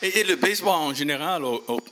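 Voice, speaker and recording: A man from the United States with an American accent, a native English speaker hosting casually, halting and repeating words as he searches for phrasing. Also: on the high side, lively intonation, sharp tone, faint music behind. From United States, Missouri. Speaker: show host and interviewer. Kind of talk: casual on-location introduction and interview.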